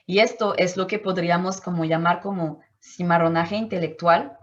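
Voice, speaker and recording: A woman's voice: lowish voice, fairly even intonation, normal speed, dull audio. A woman from Martinique whose first language is French, speaking Spanish as an anthropologist answering interview questions thoughtfully and often searching for words. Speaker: anthropologist guest.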